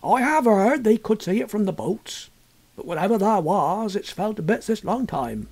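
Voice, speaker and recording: A man voicing a plain-spoken village innkeeper in a storybook, with folksy country speech and a husky voice. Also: sits high, lively intonation, moderate pace, darkish tone, voice pushed up. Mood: matter-of-fact. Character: genial rustic male innkeeper, plain-spoken village local.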